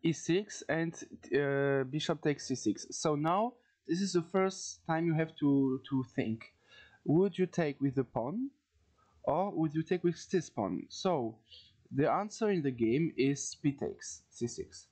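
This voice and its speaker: A man from France with a French accent gives an informal lesson as a video host, haltingly searching for words and repeating phrases. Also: a little high, lively intonation, darkish tone. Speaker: chess teacher, video host. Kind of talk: informal instructional commentary.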